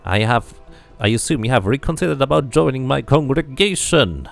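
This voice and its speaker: Guy doing a preachy fanatic priest, higher than his normal voice, raspy edge. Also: lively intonation, normal speed, faint music behind. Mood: fervent. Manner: fervent, preachy, grandiose. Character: zealous male priest preaching of a god of portals. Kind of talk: video game character reading.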